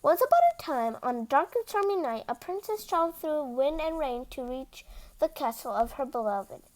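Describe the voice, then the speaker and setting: High-pitched, animated woman reading aloud. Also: normal speed, poor audio. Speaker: host reading a picture book aloud. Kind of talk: animated storybook read-aloud with casual asides.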